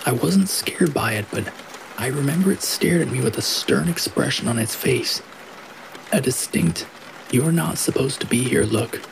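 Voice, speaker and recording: A man's voice: medium pitch, creaky. A man narrating scary stories in a scripted storytelling read, with rain falling behind him. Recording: steady rain noise behind the voice.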